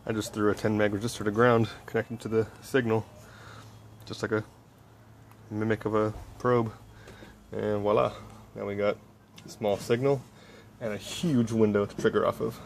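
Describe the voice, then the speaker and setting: A man with a fairly low voice talks through a hands-on project in a very even, flat tone. He explains informally, step by step, like a casual update. There is clear noise on the home-made recording. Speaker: electronics hobbyist.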